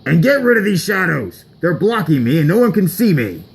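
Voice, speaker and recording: A man's voice: high voice, big pitch swings, a little fast, vocal fry, husky, voice pushed up, full character voice. A man voicing a pompous, imperious, vain villain boss in a homemade story. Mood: indignant. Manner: pompous, commanding.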